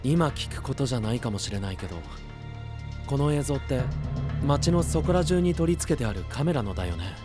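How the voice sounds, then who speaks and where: Guy as young anime hero type, casual, kinda wry, animated pitch, music going behind him. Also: fairly quick, close to an everyday voice. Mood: skeptical, probing. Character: questioning, tactful young male guardian, mildly wry. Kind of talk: anime-style voice drama acting.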